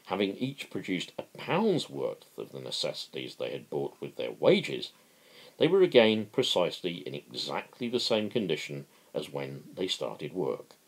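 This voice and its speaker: A middle-aged man giving a dramatic reading and impassioned political commentary, emphatic and deliberate, with dramatic pauses. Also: very deep voice, huge pitch swings, slightly rough, low-quality recording. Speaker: amateur reader and political commentator. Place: small living room at home, indoors.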